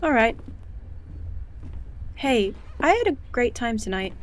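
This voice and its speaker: A woman's voice: on the high side, sing-song, takes it slowly. Woman voicing an earnest young scientist, candid, faint hiss, audio drama.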